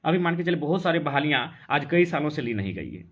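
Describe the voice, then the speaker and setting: A man's voice: on the high side, sing-song, quick pace, a bit bright. A man from Jharkhand with a Jharkhandi Hindi accent, speaking as an activist in an insistent, argumentative live political talk. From Jharkhand, India. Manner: insistent, argumentative, full of rhetorical questions. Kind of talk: impassioned political talk on a live stream, answering comments. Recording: dull, lo-fi sound.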